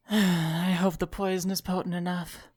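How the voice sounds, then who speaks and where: A woman's voice: lowish voice, flat delivery, measured pace, a little mellow. A woman playing a cold, clinical bandit poisoner in an audio drama, detached and confident in manner. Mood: cold, assured.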